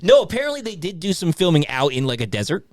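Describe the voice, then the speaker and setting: A man's voice: on the high side, big pitch swings, quick pace. A man co-hosting a casual, bantering film review chat. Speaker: podcast co-host leading the discussion.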